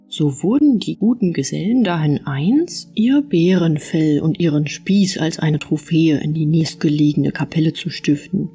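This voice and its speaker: A woman reading a scripted fairy-tale audiobook as narrator, with expressive storytelling. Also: deep voice, slightly rough.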